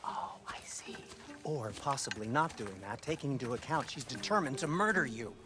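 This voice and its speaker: Polite game host-craftsman male actor: mid-range, very expressive, particular, gently dry. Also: mid-range voice, normal speed, close to an everyday voice. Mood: dry, concerned. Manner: courteous, precise, dry. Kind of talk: animated video game acting.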